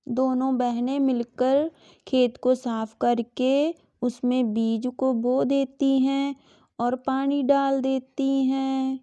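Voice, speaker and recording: A woman narrating a scripted children's story in a measured, even storytelling manner. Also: high-pitched, dry recording, highs cut off.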